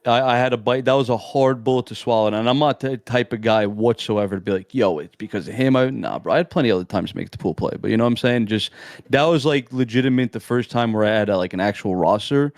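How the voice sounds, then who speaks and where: A young man in casual interview chat, talking in a strong monotone, laid-back and slangy, rambling with restarts. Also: medium pitch, fast, dry recording, clean studio sound. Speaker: amateur esports player, guest.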